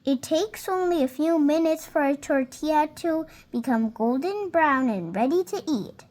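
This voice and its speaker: A young reader slowly and carefully reading a picture book aloud, now and then stumbling on a word and fixing it. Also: female voice, high voice, expressive, a little mellow. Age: child. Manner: slow careful reading with small slips and self-corrections.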